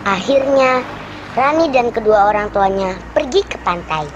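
A woman narrating a children's cartoon story in simple, slow storytelling sentences. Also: mid-range voice, animated, dull audio, background noise.

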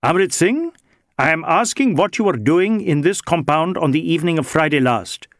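A man voicing an insistent, snappish Indian police inspector in an audiobook, firing out brisk, demanding questions. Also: on the high side, animated, no room sound, studio quality, pitched above the performer's own voice. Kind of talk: audiobook character dialogue.

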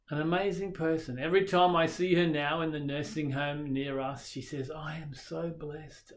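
A man's voice: on the high side, darkish tone. A man in his sixties with an Australian accent, preaching a recorded sermon straight to camera from a small dim room, earnest and reflective. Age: 60s. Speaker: pastor. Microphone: webcam facing him at desk distance.

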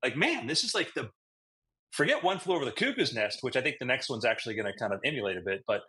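A man's voice: mid-range voice, big pitch swings, fast, pushed and bright. A man co-hosting a podcast, telling a rambling story in casual chat, recorded on a close webcam in a room at home. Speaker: podcast co-host.

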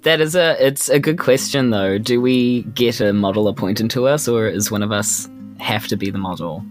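A man's voice: lowish voice, normal speed. Casual New man over music. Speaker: tabletop game player. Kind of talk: casual game banter.

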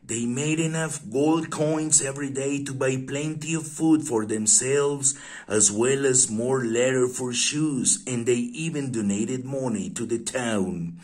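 A man reading a fairy tale aloud for young children in a deliberate, expressive way, with pauses. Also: mid-range voice.

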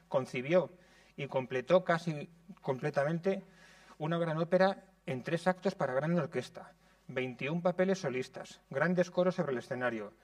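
A man in his thirties from Spain, reading a prepared lecture in a measured way in a conservatory lecture room. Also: fairly high pitch, darkish tone, dry recording, distant mic. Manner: measured, reads from notes.

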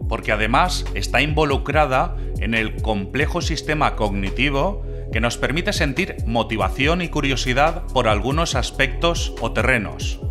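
A man hosting a channel, reading a scripted explainer voice-over that poses rhetorical questions to the viewer. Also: mid-range voice. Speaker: channel host. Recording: clear music bed behind the voice.

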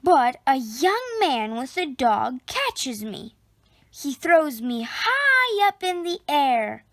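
A woman reading a children's picture book aloud expressively, with deliberate phrasing and dramatic pauses. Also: high-pitched, sing-song, fairly bright tone, no echo, studio quality. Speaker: storybook reader.

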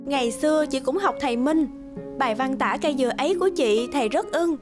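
Lady voicing big sister in a cartoon, teen student, high voice, caring but kinda firm. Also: fast, music in the background, close to an everyday voice. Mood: reproving. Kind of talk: cartoon dialogue.

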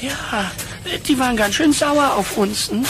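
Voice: mid-range voice, a little slow, natural voice. An actor playing a gullible, grumbling male hunter sidekick in a children's cartoon audio play, whiny and hesitant in delivery. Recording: noisy old cassette recording.

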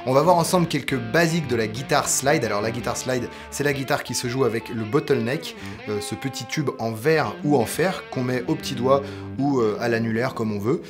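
A Frenchman in his thirties gives an instructional talk on guitar technique, taking it step by step. His voice sits at a middling pitch, with clear guitar sound behind it. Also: fairly quick. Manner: explanatory, step by step. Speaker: guitar teacher. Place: indoor guitar studio with amps. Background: electric guitar playing.

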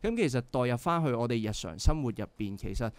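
A man's voice: medium pitch, sing-song, fast talker, dry recording. A young man with a Hong Kong Cantonese accent, a band member guest on a radio show, explaining earnestly with many filler sounds. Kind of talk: casual radio interview. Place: radio studio.

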